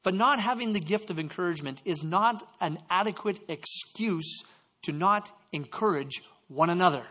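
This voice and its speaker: A man from Canada delivers a sermon in a fairly high voice. He slips into personal stories with self-deprecating asides and stumbles through quick restarts. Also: bright, forceful tone. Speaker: pastor.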